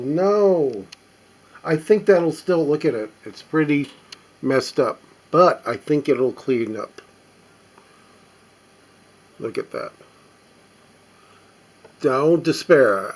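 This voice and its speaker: A middle-aged or older man giving casual, unscripted show-and-tell commentary as a collector, halting and musing aloud as he inspects things. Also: mid-range voice, lively intonation, darkish tone, poor audio. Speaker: record collector presenting finds.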